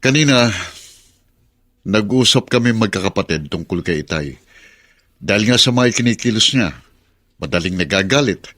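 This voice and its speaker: An actor playing a concerned, frustrated adult son in a Filipino radio drama. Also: male voice, fairly low pitch, lively intonation, moderate pace, long pauses, fairly bright tone. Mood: troubled.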